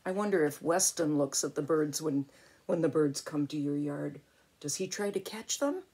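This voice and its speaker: An older woman, a grandmother, reading a picture book aloud in an expressive way and chatting to a young child with little exclamations. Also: deep voice, normal speed, breathy edge. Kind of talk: storybook read-aloud with chatty asides to a child.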